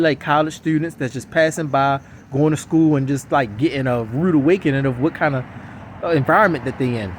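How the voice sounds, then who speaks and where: A man with a slightly raised voice rambles on insistently in a casual vlog, circling back to the same points again and again. He is recorded outdoors on a city street, with faint traffic noise underneath. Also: on the high side. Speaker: vlogger. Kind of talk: casual rambling monologue to camera.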